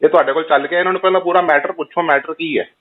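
Fast-talking, pleading Punjabi man on the phone. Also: on the high side, fairly even intonation. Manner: deferential, pleading, insistent. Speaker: travel agent. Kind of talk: phone call, arguing his side. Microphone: phone call. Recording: muffled recorded phone call.

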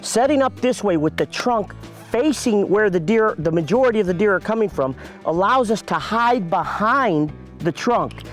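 A man in his thirties explains a hunting technique to camera in an instructional way. His voice sits high for a man, and faint music plays behind him. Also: animated, moderate pace. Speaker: presenter giving a hunting tip. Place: outdoors in leafy woods, in a tree stand. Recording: music under the voice.

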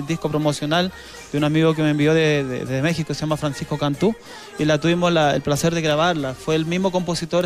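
A man in his thirties with a Chilean accent, a guest singer chatting earnestly on a TV studio set into a handheld mic, with some halting restarts. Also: fairly high pitch. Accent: Chilean Spanish. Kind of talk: casual chat.

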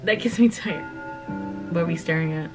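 A woman's voice: on the low side, normal speed. An eighteen-year-old woman reacting casually to videos at home, laughing often and talking to viewers as y'all. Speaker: reaction vlogger. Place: indoor room at home. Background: music from the video she is reacting to.

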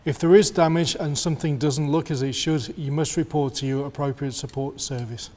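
A man's voice: fairly high pitch, fairly even intonation, brisk, darkish tone. A man delivering scripted safety instructions as a contributor to a training film.

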